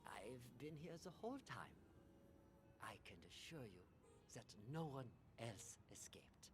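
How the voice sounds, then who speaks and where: A woman's voice: extremely low pitch, big pitch swings, a little slow, over music, full character voice. An actress playing a young, evasive mental institution administrator in a radio drama, chatty and hesitant as she over-explains. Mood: apologetic, smooth. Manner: breezy, nervous, over-explaining with hesitant trailing off. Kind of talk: interactive radio drama acting.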